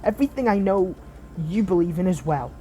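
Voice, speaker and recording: A woman's voice: lowish voice, lively intonation, fairly quick, dark tone, soft background music, lightly acted. A woman playing a betrayed former hero turned villain in an audio roleplay, speaking earnestly and intimately, with idealism and bitterness. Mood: bitter, frustrated. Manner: earnest, impassioned, intimate address to a partner. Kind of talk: dramatic audio roleplay monologue.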